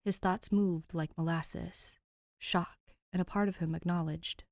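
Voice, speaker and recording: A woman narrating fiction as an audiobook, measured and dramatic, with pauses between phrases. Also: fairly low pitch, steady tone, a little mellow, dry recording, clean studio sound. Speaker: podfic narrator.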